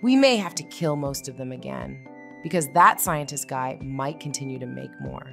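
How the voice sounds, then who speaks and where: A woman's voice: extremely low pitch, moderate pace, gravelly, fairly bright tone, full character voice. A woman voicing a confident, caring young woman who leads a cartoon hero team in a comic dub. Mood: earnest, encouraging. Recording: music playing under the voice.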